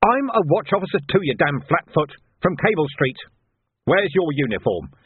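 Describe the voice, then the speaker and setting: Over a thin, band-limited recording comes a man performing an irate secret policeman, pitched up and husky. He is arrogant and snarls with indignant contempt, an audiobook bully in uniform. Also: sing-song, normal speed, no echo, full character voice. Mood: outraged. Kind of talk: audiobook character acting. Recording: narrow band, phone-like sound.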